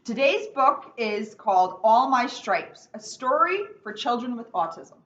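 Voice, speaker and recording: Bright, lively woman reading from a distance. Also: on the high side, a little slow. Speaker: police officer reading a children's book. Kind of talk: read-aloud storytelling with casual intro. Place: indoors in a borough hall seating area. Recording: distant mic, dull sound, faint noise.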